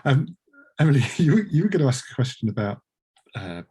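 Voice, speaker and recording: Slightly husky man, casual host. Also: medium pitch, very animated, brisk, darkish tone. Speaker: host, producer. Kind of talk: casual interview hosting.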